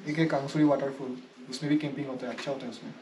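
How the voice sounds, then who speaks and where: A man at a campsite chatting casually and giving short, soft-spoken answers about festivals and camping. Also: medium pitch, flat delivery, rapid-fire, background noise. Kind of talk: casual chat.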